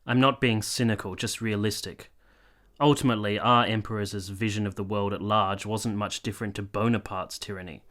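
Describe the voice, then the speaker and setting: A man's voice: fairly low pitch, steady tone, a little fast, a bit bright, close to an everyday voice. An actor playing a cynical, bitter soldier captain in his late twenties in a period radio drama, dry and argumentative. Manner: dry, argumentative, matter-of-fact.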